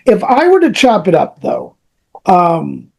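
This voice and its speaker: A podcast host in casual, analytical conversation, thinking aloud in halting phrases that often repeat. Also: mid-range voice, huge pitch swings, gravelly, breathy edge.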